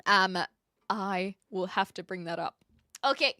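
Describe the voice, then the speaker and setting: A woman from New Zealand with a posh Kiwi accent, chatting casually as a livestream host in an expressive, giggly way. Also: mid-range voice, measured pace, dry recording, clean studio sound. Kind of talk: casual livestream chat, answering viewer comments.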